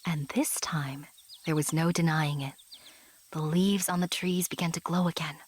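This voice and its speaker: A woman narrating an audio fairy tale as a gentle storyteller, with a breathy, hushed voice. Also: sits low, fairly even intonation, normal speed. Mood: gentle wonder.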